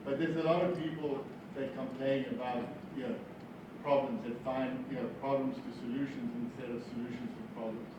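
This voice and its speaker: A man's voice: medium pitch, steady tone, a little fast, background noise. South African male speaker, wry and informal business talk, very reverberant room, distant mic. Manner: wry, self-deprecating humor. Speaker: business founder, guest speaker. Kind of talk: informal motivational business speech.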